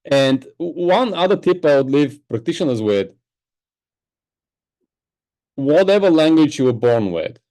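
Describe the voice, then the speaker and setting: A Bulgarian-born man with a mellow, warm voice talks as an interview guest. He explains with enthusiasm and lets his voice drop low on side remarks. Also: expressive, measured pace, long pauses, poor audio. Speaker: guest, spiritual teacher. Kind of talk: podcast interview.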